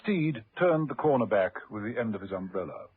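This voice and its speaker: A man's voice: on the low side, expressive, fairly quick, slightly rough, no room sound, narrow, tinny audio. A man narrating a scripted suspense serial for radio as its storyteller. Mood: measured, wry. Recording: band-limited old radio recording with music. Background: music.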